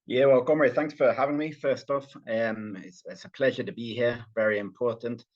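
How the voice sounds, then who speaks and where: A man's voice: medium pitch, fairly even intonation, moderate pace, darkish tone, highs cut off. A British man with a slight Venezuelan tinge in his accent, talking as a guest on a podcast. He speaks fluently but with many fillers and restarts. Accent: British, with some Venezuelan influence. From Britain. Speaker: guest, party official. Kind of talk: remote podcast interview.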